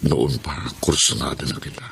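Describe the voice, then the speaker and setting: A man's voice: very deep voice, flat delivery, moderate pace, vocal fry, noisy background, heavily acted. An actor playing a lustful, coaxing middle-aged stepfather in a Filipino melodrama. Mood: coaxing, amorous. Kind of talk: Filipino radio-style melodrama acting.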